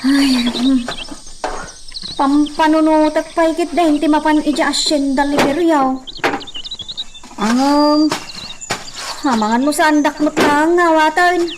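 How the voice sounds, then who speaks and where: Natangig ti timekna a babai. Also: female voice, sits high, takes it slowly, long pauses, background noise. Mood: weary, musing. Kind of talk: Ilocano radio drama acting.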